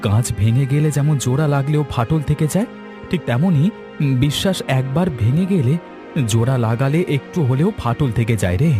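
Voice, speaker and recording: A man's voice: mid-range voice, animated, brisk, music in the background, natural voice. A man voicing a wronged young village man in a cartoon, solemn and moralizing. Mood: grave, disappointed. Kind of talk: cartoon voice acting.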